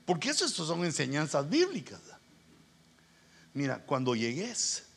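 Casting: male pastor, middle-aged, Guatemalan accent, raspy and very animated, folksy conversational sermon delivery. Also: fairly high pitch, normal speed. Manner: informal, colloquial storytelling with acted-out dialogue. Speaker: pastor, preacher.

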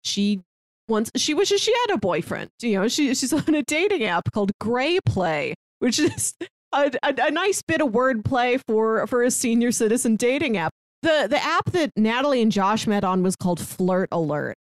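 A woman's voice: fairly high pitch, big pitch swings, moderate pace, no echo, clean studio sound. A woman in her early thirties co-hosting a casual film discussion, retelling scenes conversationally with bits of quoted dialogue. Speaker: podcast co-host.

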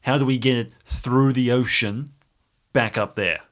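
A man's voice: mid-range voice, fairly even intonation, measured pace, a bit bright. A man playing a tabletop role-playing game in casual chat, making short, dry questions and remarks. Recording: music playing behind the voice. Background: background music.

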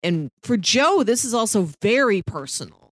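A woman's voice: on the high side, very animated, moderate pace, no echo. Conversational woman, dry close sound. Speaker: podcast co-host. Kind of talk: casual film discussion.

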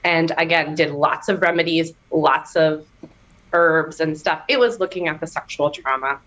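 A woman sharing a candid personal story as a guest on an interview podcast, animated and given to laughter. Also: moderate pace, dull audio. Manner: animated storytelling, laughing, bursts of high excitement.

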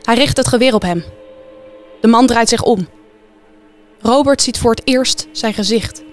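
A woman's voice: on the high side, unhurried, faint music behind. A woman reading scripted narration as the storyteller of a wartime radio play.

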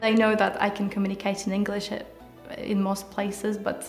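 A woman's voice: mid-range voice, completely flat delivery, normal speed, a little mellow. A young woman from Ukraine, interviewed in a report, speaking earnestly and eagerly. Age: young adult. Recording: echoey with music underneath.

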